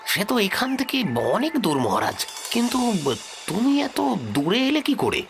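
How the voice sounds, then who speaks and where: A man voicing a loyal royal minister in a cartoon, deferential and expressive, with a creaky voice. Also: high-pitched, normal speed, over music, full character voice. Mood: surprised. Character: loyal, attentive male royal minister advising his king. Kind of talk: dramatic cartoon dubbing.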